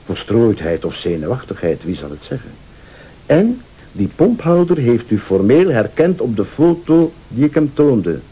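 An actor playing a vengeful rival in a radio thriller, a calm, sardonic accuser who speaks Flemish with deliberate, probing politeness. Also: male voice, mid-range voice, slightly rough. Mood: smug, relentless. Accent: Flemish Belgian. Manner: deliberate, insinuating, formally polite.

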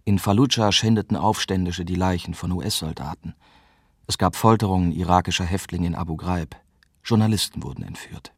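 An actor playing a young German journalist, narrating a radio drama in a reflective, bitter way. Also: male voice, sits low, brisk, breathy edge, no room sound, studio quality. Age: young adult.

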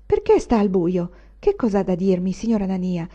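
A woman's voice: medium pitch, sing-song, fairly quick, mellow. Woman voicing a breathless, tired landlady. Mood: concerned. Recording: dull lo-fi recording.

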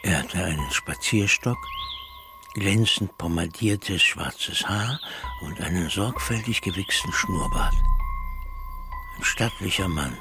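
A man acting a middle-aged narrator recalling an eerie childhood memory in a radio drama, gravelly and measured. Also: low voice. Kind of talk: radio drama monologue, storytelling.

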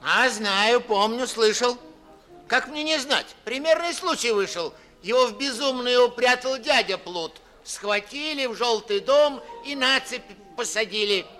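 A bright, high-pitched man's voice, glib and insinuating. He is a gossiping society busybody in a 1950s Russian radio comedy, declaiming his rumours over faint music. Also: animated, unhurried, heavily acted. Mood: glib, knowing.

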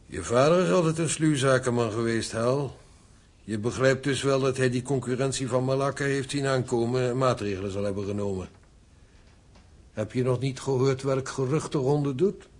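A man acting in a radio drama as a shrewd, sober older father-in-law, husky, with a measured, knowing and kindly manner. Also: mid-range voice, natural voice. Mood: calm, knowing.

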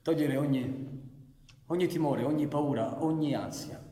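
Casting: male actor as proud, brooding anarchist outlaw, higher-pitched, theatrical radio drama, reverberant room. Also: warm tone, close to an everyday voice. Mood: grave, brooding. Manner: dramatic, deliberate. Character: intense male anarchist bandit, proud, bitter and commanding. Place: live radio performance in an echoey space. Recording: reverberant echoey hall with music.

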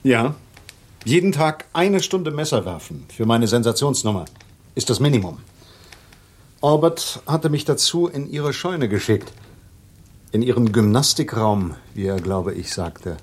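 An actor playing a polite, curious stage artist visiting as a house guest in a radio crime drama. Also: male voice, mid-range voice, lively intonation, unhurried. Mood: matter-of-fact.

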